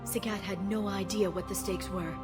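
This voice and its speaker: A woman's voice: medium pitch, fairly even intonation, moderate pace, natural voice. An actress playing a determined, guilt-burdened alien woman in a video game, speaking in an earnest, clipped, serious way. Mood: grim resolve. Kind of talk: dramatic video game voice acting. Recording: music behind the voice. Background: game soundtrack music.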